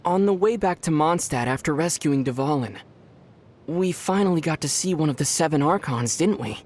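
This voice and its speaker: A man voicing a calm, thoughtful young traveler hero in a video game, speaking in a measured way. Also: a little high.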